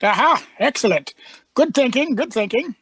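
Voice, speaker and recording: A woman playing an eccentric, shrewd time traveller in a radio sci-fi serial, husky, musing aloud and repeating phrases. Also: fairly high pitch, expressive, normal speed, a bit bright, no echo.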